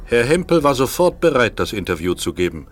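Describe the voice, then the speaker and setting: A man's voice: mid-range voice, sing-song, brisk, faint music behind. A man narrating a children's audio drama in a scripted storytelling style.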